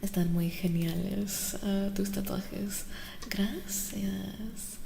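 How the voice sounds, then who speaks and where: Soft-spoken woman with heavy vocal fry. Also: mid-range voice, fairly even intonation. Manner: unhurried, often dropping into whispers; casual, playful, reacting to chat. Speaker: livestream host. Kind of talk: soft-spoken ASMR livestream chat. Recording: roomy echo, faint background noise.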